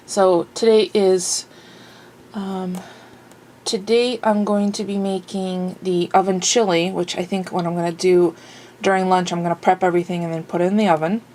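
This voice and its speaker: A woman's voice: fairly low pitch, flat delivery, normal speed, warm tone, slight background noise. A woman vlogging at home in a casual, rambling way, a little sniffly and full of fillers. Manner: rambling, chatty, many fillers. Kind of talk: casual chat. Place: home, indoors.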